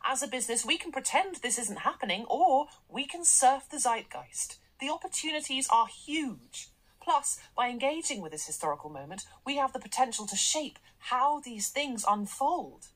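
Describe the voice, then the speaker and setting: An actress in a radio drama playing a brisk, commanding, articulate corporate boss, cool and self-assured. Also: female voice, high voice, very animated, a bit bright, exaggerated voice, rough recording. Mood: persuasive. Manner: assertive, articulate, polished.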